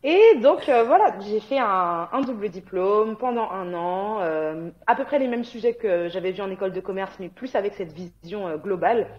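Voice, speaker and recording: A woman's voice: medium pitch, moderate pace, hardly any pauses. A woman from Guadeloupe, an interview guest, talking casually with many hesitations and bursts of laughter. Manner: chatty, frequent hesitations and laughter. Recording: dull lo-fi sound.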